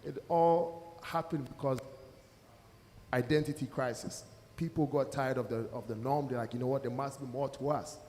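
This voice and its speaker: A man's voice: mid-range voice, steady tone, normal speed, darkish tone. A man from Ghana speaking as a panelist, telling stories earnestly. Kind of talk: panel discussion. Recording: long hall reverb, faint noise.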